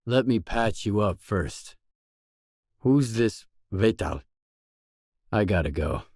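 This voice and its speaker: A male actor playing a weary, tough, guarded stalker mercenary in a video game, speaking in blunt, deadpan lines. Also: fairly low pitch, slow, no echo, clean studio sound, heavily acted. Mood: matter-of-fact. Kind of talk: video game voice acting, isolated dialogue lines.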